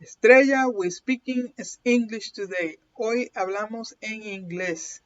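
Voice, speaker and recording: A woman's voice: a little high, unhurried. Chatty Puerto Rican woman on a stream. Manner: chatty, keeps checking in with "okay?", laughs often. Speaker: card reader hosting a live stream. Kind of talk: casual livestream chat and reading. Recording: dull lo-fi stream audio.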